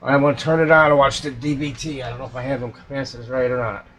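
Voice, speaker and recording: A man's voice: mid-range voice, little pitch movement, rapid-fire, a bit bright. A man giving casual, unscripted commentary as a repair hobbyist, folksy and muttering to himself.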